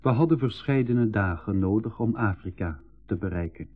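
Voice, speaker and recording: A man's voice: fairly low pitch, normal speed, natural voice. Guy playing eager outspoken boy in an old radio play, tinny narrow sound, bit of music. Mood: reflective narrating. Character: Piet, eager outspoken boy telling his travel adventures. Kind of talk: 1980s school radio play, narration and dialogue. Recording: thin phone-like radio recording, music.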